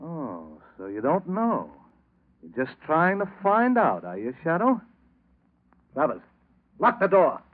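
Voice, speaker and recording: Tinny vintage-radio crime boss. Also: male voice, a little high, wildly swooping pitch, slow, pauses often, heavily acted. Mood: commanding, wary. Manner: curt, commanding. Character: scheming, bossy male racketeer, suspicious, short-tempered. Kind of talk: 1940s radio crime drama acting. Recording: narrow, tinny old radio recording.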